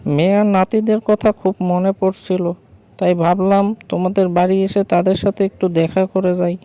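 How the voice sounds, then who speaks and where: A man's voice: high voice, little pitch movement, moderate pace, mellow, clean tone, lightly acted. A man voicing a jinn disguised as an elderly village father-in-law, speaking in friendly, fatherly small talk in a cartoon story. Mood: fatherly, coaxing.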